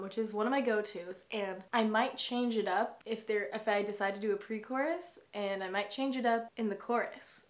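Chatty young woman with a light voice. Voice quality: naturally higher voice. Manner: chatty, thinking aloud with many fillers. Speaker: singer-songwriter. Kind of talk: casual songwriting vlog, talking and singing. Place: small bedroom with string lights. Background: her own acoustic guitar playing.

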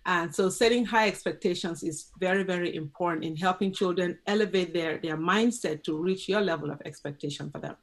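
Cameroonian woman, earnest and measured. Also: fairly low pitch. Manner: earnest, measured, lists points steadily. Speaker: guest, parenting educator. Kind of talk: interview on video call. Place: home office indoors. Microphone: video call webcam.

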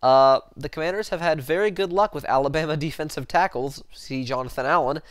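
A man's voice: on the high side, fairly quick, dry recording. A young man with a head cold and a slightly nasal voice, hosting casual football commentary on his channel in a rambling way full of fillers, recorded in a small room on a headset mic. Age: young adult. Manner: rambling, self-correcting, lots of fillers. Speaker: sports channel host. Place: small room in front of a sports-print backdrop.

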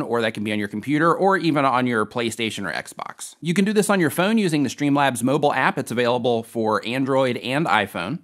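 A man in his forties, hosting a scripted question-and-answer tutorial to camera in a small dark studio. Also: medium pitch. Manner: upbeat, lively, emphatic explainer. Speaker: host.

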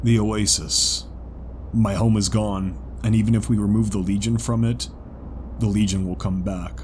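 A man voicing a reserved, awkward zebra wanderer in an audiobook drama, hesitant and measured. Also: on the low side, steady tone, darkish tone, a little hiss or hum, natural voice. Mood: resigned. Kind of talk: audiobook character acting. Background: music.

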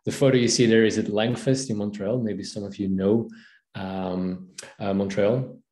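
A man's voice: on the low side, fairly even intonation, moderate pace, warm tone. A man in his thirties from Belgium, a Dutch native speaker with a Belgian Dutch accent, giving an online language-learning talk over a video call, friendly and full of fillers and restarts. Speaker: language teacher and presenter. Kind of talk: online conference presentation. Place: home room, video call. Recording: echoey room.

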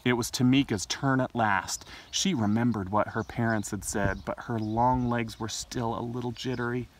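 A man's voice: medium pitch, fairly even intonation, moderate pace. A middle-aged man reading a picture book expressively to children as a storytime host, recorded outdoors. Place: outdoors in a garden. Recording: distant mic, faint outdoor noise.

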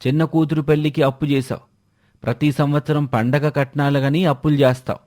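A man's voice: on the high side, little pitch movement, fairly quick, a little mellow, natural voice. A man voicing a blunt village moneylender who scolds and demands repayment. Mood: scolding.

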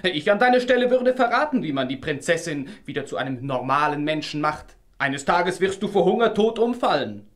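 An actor playing a bold, teasing, good-hearted young hero in a fairy-tale audio drama. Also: male voice, fairly high pitch, sing-song, fairly quick, few pauses. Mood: taunting.

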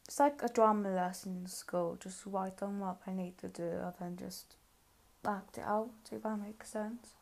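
A woman gives casual reaction commentary as host. She talks slowly and hesitantly at an average pitch, working out her opinion as she goes. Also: fairly even intonation, vocal fry. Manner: hesitant, thinking aloud.

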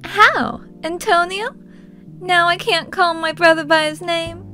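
Woman doing a playful teasing cartoon sister, really high girly voice, faint music under it. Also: a little slow, pushed and bright, exaggerated voice. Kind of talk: cartoon comic dub acting.

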